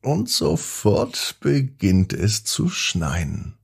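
Low-voiced man, lively bedtime storyteller. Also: vocal fry, husky. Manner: gentle, drawn-out emphasis, addressing young listeners. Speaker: children's podcast host and storyteller. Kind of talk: expressive bedtime story narration for children.

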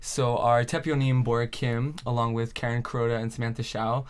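A young man guests on a radio show as the leader of a band. He explains things steadily, often pausing and filling the gaps with hesitations. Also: mid-range voice, little pitch movement, smooth. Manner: measured, many "uh" and "um" pauses. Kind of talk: explaining, casual interview. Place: radio studio. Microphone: studio mic on a stand.

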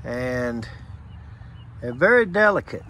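A man of middle pitch talks slowly and plainly as an HVAC technician, pointing things out step by step. He is outdoors beside air conditioner units, recorded on a handheld phone camera. Faint outdoor noise sits behind his voice. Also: big pitch swings, sharp tone. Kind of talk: casual on-site explanation to camera.

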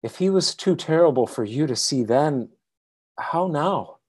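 Husky, gentle old husband played by a man. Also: a little high, lively intonation, unhurried. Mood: fearful, reluctant. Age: older. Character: elderly husband, gentle, protective, fearful and weary. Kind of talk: radio play acting.

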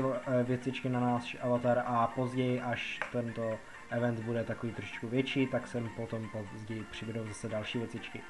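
A man from the Czech Republic giving a casual, rambling game tutorial, repeating himself as he explains. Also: mid-range voice. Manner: rambling, repetitive explaining with filler words. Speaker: gaming video narrator. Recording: music behind the voice. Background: background music.

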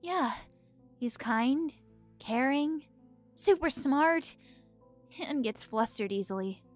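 Young heroine played by a woman, high and slow, halting delivery. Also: animated, faint music behind. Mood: earnest. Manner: hesitant, stammering. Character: young heroine, unsure but determined. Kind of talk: fan audio drama acting.

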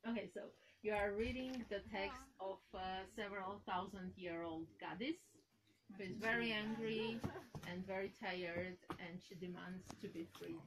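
A woman's voice: mid-range voice, steady tone, normal speed. Woman presenter on a very noisy handheld recording. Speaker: audition host. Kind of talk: presenting vlog-style auditions.

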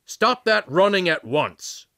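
A man playing an angry, fat ship captain with booming, commanding shouts in an audiobook. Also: very animated, slow pace, heavily acted. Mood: bellowing anger.

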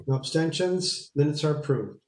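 A man in his fifties chairing a board meeting on a video call, reading names slowly and calmly. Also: a little high. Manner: measured, reads names and dates slowly. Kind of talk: formal meeting procedure and memorial reading. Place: small home room. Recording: roomy video call audio.

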